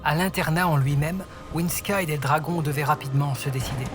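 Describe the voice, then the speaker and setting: Casting: male narrator for fantasy audio fiction, fairly high voice, scripted storytelling over prominent music. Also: a little fast.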